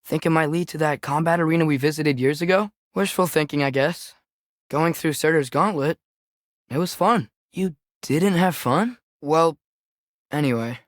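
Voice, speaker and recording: A man's voice: fairly high pitch, moderate pace, no room sound, clean studio sound, natural voice. A young man playing an eager, earnest, curious teenage boy, a companion in a video game, fighting beside his father. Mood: wry.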